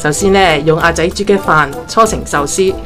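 A woman's voice: fairly low pitch, moderate pace, lightly acted. A woman playing a cheery, overconfident home-cook mother with a Hong Kong accent in a comic audio drama. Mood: proud presenting. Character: cheery, overconfident mother who fancies herself a chef. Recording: music and sizzling behind the voice.

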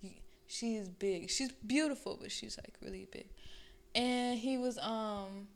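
A young woman co-hosting a casual chat, animated and laughing often as she mimics other people. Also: a little high, measured pace, creaky.

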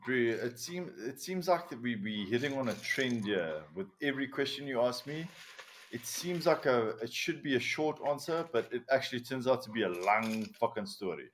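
A man's voice: medium pitch, animated, normal speed, a little hiss or hum. A man with a South African accent, first language Afrikaans, telling stories in a casual, laughing, swearing podcast chat. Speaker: podcast guest, commercial diver.

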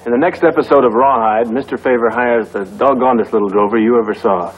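A man's voice: mid-range voice, a little fast. An American man delivering an old television promo announcement. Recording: dull old TV audio with music.

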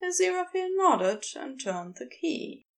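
A woman's voice: extremely high pitch, big pitch swings, a little slow, fairly bright tone. A woman narrating scripted fiction expressively, as for an audiobook.

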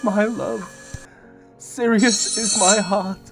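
A man's voice: high voice, steady tone, very slow, gravelly, over loud music, full character voice. An actor playing a noble young king in a fantasy audio drama, speaking in formal, archaic, dramatic phrases. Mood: anguished, pleading. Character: noble young male fantasy king, devoted, desperate lover.

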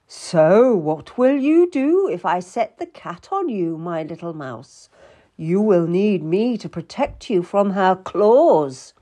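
A domineering, boisterous king, acted out by a woman reading a historical story. He talks down to everyone in slow, commanding phrases, with emphatic swings in pitch. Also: big pitch swings, mellow. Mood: teasing.

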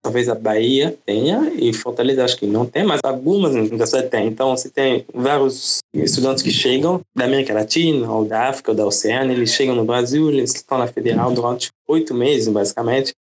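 A man from the Democratic Republic of the Congo talks through his experiences in an easygoing interview. His first language is French, and his delivery is unhurried and explanatory. He often checks in with his listener with small tags. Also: medium pitch. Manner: relaxed, explanatory, often adds "né?" and "entende?". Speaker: podcast guest. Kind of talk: conversational interview.

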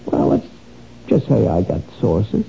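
A man's voice: fairly low pitch, sing-song, a little slow, vocal fry, warm tone, full character voice. An actor playing a sly, menacing male crook who wheedles and threatens, in a 1970s radio drama. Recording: dull lo-fi old radio recording, faint noise.

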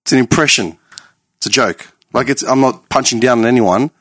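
A man's voice: mid-range voice, brisk, husky, dry recording. A man, talking casually and emphatically with a lot of swearing. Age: late 30s. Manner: animated, profane, emphatic. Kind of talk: casual podcast chat.

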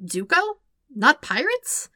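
A woman voicing a cheerful, excitable twelve-year-old airbender boy in an audiobook story. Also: high voice, slow, no room sound, studio quality, pitched above the performer's own voice, exaggerated voice. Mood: doubtful. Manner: excitable, eager, questioning.

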